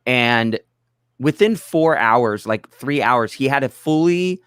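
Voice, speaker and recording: Guy with an average-pitched voice, super dry sound, rambling explanation, emphatic and casual. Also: lively intonation, slow, a bit bright, clean tone, no echo. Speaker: project collaborator, guest.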